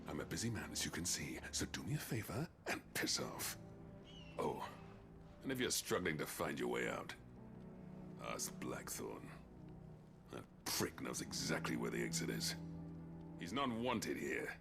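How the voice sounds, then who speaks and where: Super deep gravelly chief in a game, sneery n hostile, music behind him. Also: male voice, very animated, measured pace, long pauses, exaggerated voice. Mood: dismissive, irritated. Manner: sneering, curt, dismissive. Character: surly, hostile male village chief and smith. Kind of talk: video game drama acting.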